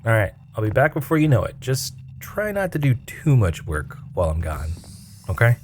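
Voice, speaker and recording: A man playing a seasoned, flirty and chatty spaceship mechanic in an intimate ASMR roleplay, teasing and breathy, dropping toward a whisper. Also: medium pitch, huge pitch swings, moderate pace. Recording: clearly noisy ambient background hum.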